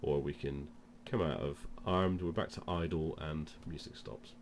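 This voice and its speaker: A man's voice: extremely low pitch, measured pace, faint music behind. A man with a cold presents an informal product demonstration, explaining things step by step. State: has a cold. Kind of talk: informal instructional demo.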